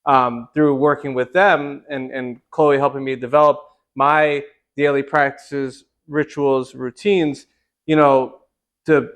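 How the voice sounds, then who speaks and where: A man's voice: mid-range voice, little pitch movement, slow pace, fairly bright tone. Enthusiastic man hosting a podcast. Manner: enthusiastic, long run-on sentences. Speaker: podcast host. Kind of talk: casual interview talk.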